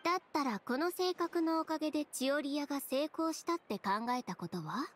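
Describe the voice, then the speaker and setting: A woman's voice: sits high, expressive, normal speed. An actress playing a proud, headstrong young female fashion designer in a game, speaking in a curt, composed, firm way. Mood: defiant. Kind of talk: Japanese game voice acting.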